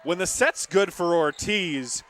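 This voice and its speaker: A man's voice: high-pitched, unhurried, a bit bright, some chatter behind, slight background noise. A young man calling live volleyball play-by-play from a gym, with emphatic, dramatic calls. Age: young adult. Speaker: play-by-play sportscaster. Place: courtside in a gymnasium.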